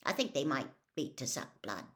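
A woman voicing a huge, cheerful half-giant gamekeeper in an audiobook, loud and enthusiastic. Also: rumbling low, big pitch swings, moderate pace, full character voice. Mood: hearty. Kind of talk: audiobook character voice.

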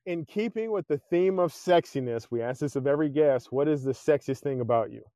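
A man's voice: fairly high pitch, brisk, warm tone, dry recording, clean studio sound. A male podcast host in casual chat, laughing easily and rambling.

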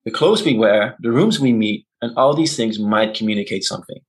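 A man's voice: fairly low pitch, fairly quick. A man, a non-native English speaker, being interviewed as an intercultural communication consultant and explaining ideas through examples and tag questions. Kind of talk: conversational interview.

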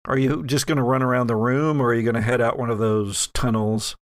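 A man narrating a tabletop role-playing game as game master, in a deliberate, wry, conversational way. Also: medium pitch, flat delivery, no room sound, studio quality.

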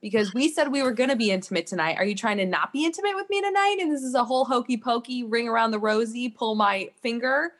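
A fairly high voice, emphatic and scolding a woman acting it out. She is an exasperated wife and mom in an improvised comedy scene. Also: fast, few pauses, natural voice. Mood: suspicious. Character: exasperated, nagging wife and mother.

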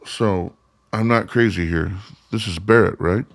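A man's voice: on the low side, measured pace, no echo. A man playing a tense, skeptical grown son in a radio play, talking in a blunt, argumentative, evasive way. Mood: probing.

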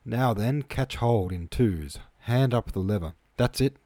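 A rough, bossy soldier, acted out by a man reading a storybook, barks hearty orders to his fellow haulers. The voice is mellow and dry. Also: medium pitch, a little slow, no echo, pitched above the performer's own voice, lightly acted. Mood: hearty urging. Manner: barking orders, coarse and hearty. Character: rough, bossy male soldiers hauling a heavy load.

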